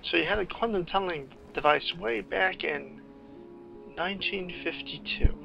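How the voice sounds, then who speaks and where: Playful gravelly man over game music. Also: high voice, moderate pace, lo-fi sound. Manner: playful, eager exclamations. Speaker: game playthrough commentator. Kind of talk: casual game commentary. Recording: game music loud behind voice.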